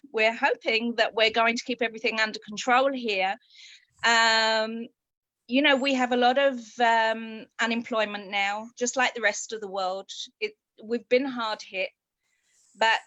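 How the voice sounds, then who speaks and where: A woman with a fairly high, bright, crisp voice talks in an upbeat, chatty way, often pausing on ums. She is heard close and dry through a video call. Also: no room sound. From Israel. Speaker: guest, copywriter. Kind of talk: interview answers.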